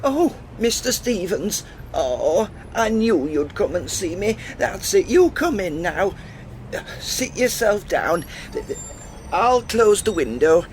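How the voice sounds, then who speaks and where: An actor playing a chatty, fretful elderly widow who rambles and interrupts herself. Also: male voice, high voice, big pitch swings, slow pace, a little hiss or hum, voice pushed up, exaggerated voice. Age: older. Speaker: actor performing a character monologue. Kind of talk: stage character monologue.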